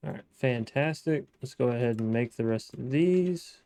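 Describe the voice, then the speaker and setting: A man with a gravelly, mellow voice chats his way through a modded building game, musing aloud and correcting himself as he plays. The sound is dry and close to the mic. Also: mid-range voice, lively intonation, normal speed, no echo. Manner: chatty, thinking aloud, frequent restarts and self-talk. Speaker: gaming let's-play host. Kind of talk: casual gameplay commentary.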